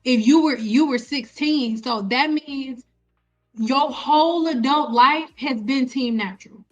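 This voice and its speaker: Emphatic high-voiced woman in her thirties. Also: measured pace, highs cut off, faint music behind. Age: 30s. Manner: emphatic, repeats phrases for emphasis. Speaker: host, hair care educator. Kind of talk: live stream lecture to viewers.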